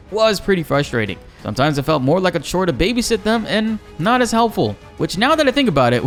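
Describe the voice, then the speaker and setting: Lively male gaming YouTuber over faint music. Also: medium pitch. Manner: informal, enthusiastic. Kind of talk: excited gaming news commentary.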